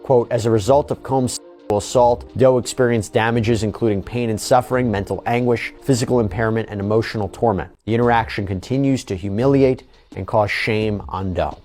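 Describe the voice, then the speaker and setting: A man hosting legal news commentary, reading and explaining in an emphatic, deliberate way. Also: lowish voice, a little mellow, soft background music.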